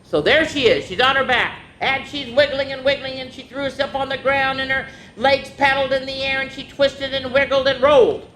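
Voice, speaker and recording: A woman's voice: fairly even intonation, moderate pace, few pauses, fairly bright tone. A woman hosting a children's story time, reading a picture book expressively, drawing out words and adding casual asides. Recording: echoey room with faint rumbling noise.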